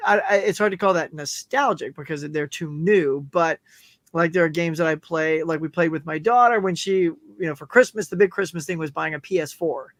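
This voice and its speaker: A middle-aged man with a notably high voice tells stories with animated energy, acting out other people's lines as he goes. His voice sounds strained, as if it is giving out. The sound is close and dry, with no room echo. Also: brisk. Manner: animated storyteller who acts out what others said. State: losing his voice. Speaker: guest YouTuber. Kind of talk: live stream chat.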